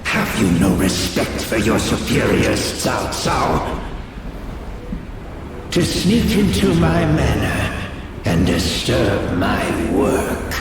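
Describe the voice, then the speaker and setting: Haughty evil court official, slow menacing game villain, huge echoey hall, loud music behind. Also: male voice, on the low side, sing-song, far from the mic. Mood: indignant. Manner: haughty, declamatory. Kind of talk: video game cutscene acting.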